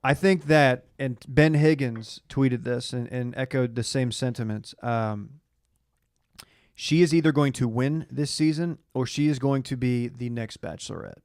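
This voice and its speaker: A man from Dallas talks as a podcast co-host in casual, conversational banter. His voice sits at a middling pitch, recorded close and dry. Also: unhurried, darkish tone, no echo. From Dallas, Texas. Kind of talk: casual banter recapping reality TV.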